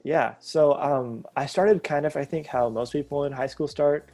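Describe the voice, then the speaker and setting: A young man in his late teens, a college freshman and drum major, speaking in a thoughtful, halting way over a video call. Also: a little high, warm tone, faint music behind.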